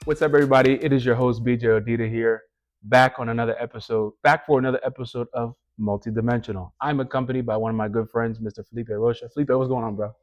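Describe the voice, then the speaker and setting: A man's voice: mid-range voice, fairly even intonation, quick pace, muffled recording, soft background music. A man in his thirties hosting a casual interview podcast, chatty and enthusiastic. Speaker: podcast host.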